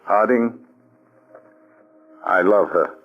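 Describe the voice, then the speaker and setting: An actor playing an anxious, lovesick army colonel in an old radio drama, speaking in an earnest, halting way. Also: male voice, fairly low pitch, soft background music. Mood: anguished. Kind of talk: 1940s radio acting. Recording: dull lo-fi old radio recording.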